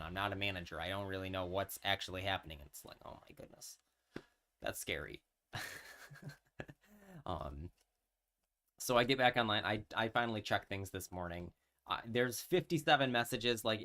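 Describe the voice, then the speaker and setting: A man's voice: fairly low pitch, sharp tone. A man, chatting casually on a live stream and telling a story with many fillers and restarts. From New York.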